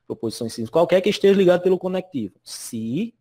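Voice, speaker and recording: Lively, chatty man teaching a lesson. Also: sits high, fast talker. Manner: chatty, constantly checks in with "né?" and "certo?". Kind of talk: recorded lesson explaining slides.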